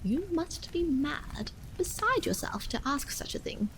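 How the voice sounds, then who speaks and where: An anxious, insistent wife pleading at a bedroom door, voiced by a woman reading a story aloud. Her voice rises high and swoops widely with vexation. Soft rain is heard behind. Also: big pitch swings, measured pace, a little hiss or hum, full character voice, low-quality recording. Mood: vexed. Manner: vexed, insistent, exclamatory.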